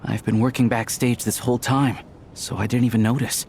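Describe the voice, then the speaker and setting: A man's voice: mid-range voice, little pitch movement, fairly quick, a little mellow, slight background noise, close to an everyday voice. An actor voicing a friendly, capable young male theater worker in a game cutscene, easygoing and helpful.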